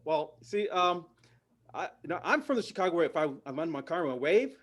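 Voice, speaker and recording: A middle-aged man works through a stand-up set. He lands his punchlines deadpan while his voice swings widely up and down. His pitch sits a little high for a man, and he drops into mock voices now and then. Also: fairly quick. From Canada. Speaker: stand-up comedian; parent.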